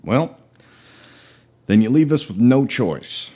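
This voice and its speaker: A man's voice: mid-range voice, lively intonation, slow, background noise. A man playing a crude, smarmy mob ringleader in a comedy sketch, casual, mock-polite and argumentative. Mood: insistent.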